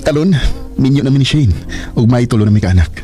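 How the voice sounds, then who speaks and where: Over a music bed, a man acting an earnest young Cebuano lover in a radio drama. His medium-pitched voice swings expressively as he carries a forbidden love. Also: animated, quick pace, mellow, close to an everyday voice. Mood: grateful, reflective. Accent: Cebuano Filipino.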